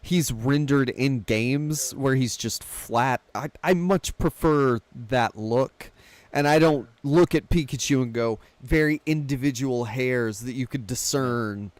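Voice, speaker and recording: A man's voice: medium pitch, slow pace, no room sound. A man co-hosting a casual chat about films. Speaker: podcast co-host.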